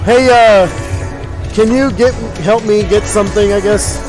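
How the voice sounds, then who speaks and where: A man's voice: sits high, unhurried. An American man commentating at an esports tournament in a casual, rambling, informal way. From United States. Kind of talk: casual banter between game commentary. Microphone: event commentary mic. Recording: music behind live tournament commentary.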